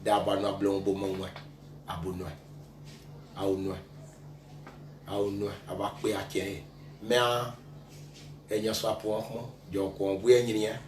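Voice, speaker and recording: A man's voice: lowish voice, flat delivery, unhurried, long pauses. A man from western Ivory Coast, a guest giving an address in short, repeated phrases. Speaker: guest on a tribute show. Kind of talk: address in a local language. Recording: echoey, noisy room.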